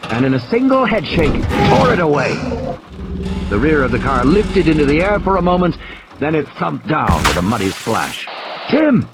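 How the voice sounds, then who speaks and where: Animated male thriller narrator over rainy effects. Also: on the high side, normal speed, very noisy. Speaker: audiobook narrator. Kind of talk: dramatic scripted narration of a thriller. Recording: clear rain and storm effects behind. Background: rain, vehicle and ambience sound effects.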